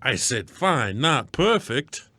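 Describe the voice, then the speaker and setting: A man's voice: medium pitch, very animated, slow, sharp tone, dry recording, heavily acted. Man playing a blustery, griping radio producer, dry sound. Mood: gruff wisecracking. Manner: wisecracking, melodramatic, folksy slangy talk. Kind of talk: scripted radio comedy skit.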